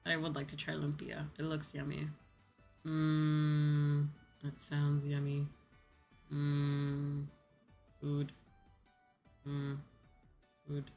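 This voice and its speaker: Young woman, low voice, sleepy, rambling livestream host, casual, music in the background. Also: monotone, creaky, warm tone. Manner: rambling, drawn-out, repeats phrases playfully.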